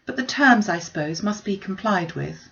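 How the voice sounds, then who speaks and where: A woman voicing an eager, impatient young gentlewoman anxious for news in a storybook, exclaiming urgently. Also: medium pitch, lively intonation, normal speed, gravelly, far from the mic, highs cut off.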